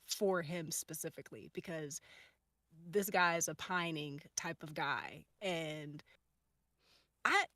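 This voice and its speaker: A woman's voice: low-pitched, takes it slowly, long pauses, sharp tone, no room sound, clean studio sound. A woman on a podcast panel chatting informally, shifting between tentative phrasing and emphatic points. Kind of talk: casual chat.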